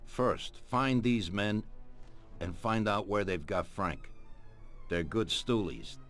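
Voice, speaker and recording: Music plays under a very low male voice: an ageing American mob boss from a crime game. He is grave and coldly ruthless, giving out orders with deliberate authority. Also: fairly bright tone. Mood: grave, commanding. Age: older. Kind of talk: dramatic video game voice acting. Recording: music behind the voice. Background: background music.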